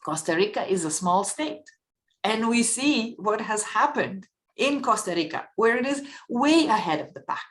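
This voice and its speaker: A woman from Bulgaria giving earnest, measured panel remarks and posing rhetorical questions. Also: a little high, animated.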